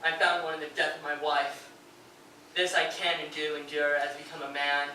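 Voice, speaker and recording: Heard from off in a very echoey room, a male actor plays a tormented, grieving poet. His voice is high and forceful. He declaims verse and letters with nervous fervor and anguish, as in a live stage drama. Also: level tone, normal speed. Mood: bitter grief. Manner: fervent, declamatory, swelling into anguished outbursts. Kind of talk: theatrical dramatic reading of poetry and letters. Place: live performance in a radio station's performance room. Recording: very echoey live room, distant mic, faint noise.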